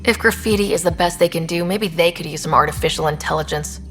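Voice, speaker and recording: Low-voiced actress as a steady young woman space explorer. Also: fast talker, soft background music, lightly acted. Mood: dry, questioning. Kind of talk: video game dialogue acting.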